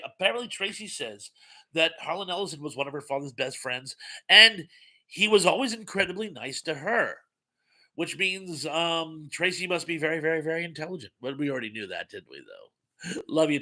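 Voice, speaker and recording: A man's voice: on the high side, lively intonation, fairly quick, bright, forceful tone. A man hosting a casual livestream, reading viewer comments aloud and rambling with wry asides, his voice getting worn out. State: losing his voice. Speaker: livestream host reading chat.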